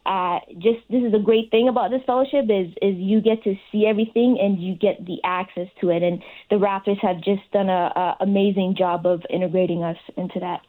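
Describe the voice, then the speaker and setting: A woman's voice: mid-range voice, steady tone, fairly quick, hardly any pauses, narrow, tinny audio. A young adult woman speaking as an interview guest, giving conversational answers. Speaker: interview guest, sports fellowship participant.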